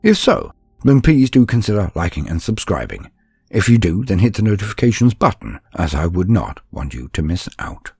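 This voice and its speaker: A man with a deep voice that swings widely in pitch as he narrates lore and stories. His delivery is formal and theatrical, with a grandiose turn to each phrase. Music plays along behind him. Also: sing-song, moderate pace, creaky, darkish tone, breathy edge, dry recording. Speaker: host of a lore channel and storyteller. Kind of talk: scripted lore narration and dramatic storytelling.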